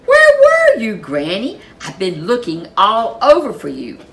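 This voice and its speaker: A woman's voice: a little high, wildly swooping pitch, unhurried, slight background noise, pitched above the performer's own voice, heavily acted. A woman voicing a brave, feisty young girl in a storybook, exclaiming dramatically. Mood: delighted.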